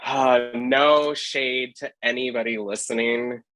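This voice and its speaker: A man's voice: medium pitch, fairly even intonation, slow, a bit bright, dry recording, poor audio. A man from Hawaii, a street photographer, chatting as a podcast guest, rambling with lots of fillers. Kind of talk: casual podcast chat.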